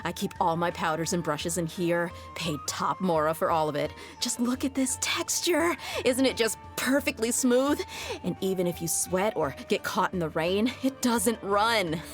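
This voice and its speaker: A woman's voice: fairly low pitch, expressive, normal speed, faint music behind, lightly acted. An actress voicing a tough but easygoing young desert mercenary woman in a video game, with a casual, frank manner. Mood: delighted. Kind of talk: video game character voice lines.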